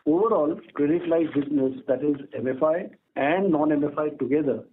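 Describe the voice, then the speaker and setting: A man with an Indian accent giving a formal, scripted business update as a company executive. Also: fairly high pitch. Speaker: company executive on an earnings call. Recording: narrow phone line with background noise.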